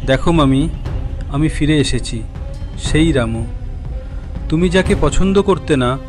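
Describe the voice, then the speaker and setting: Man voicing a slow-spoken, pleading young village boy. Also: on the high side, lively intonation, music in the background. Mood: pleading, eerie. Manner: pleading, childlike. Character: dead nephew's ghost, a pleading eager young village boy. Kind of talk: animated horror story acting.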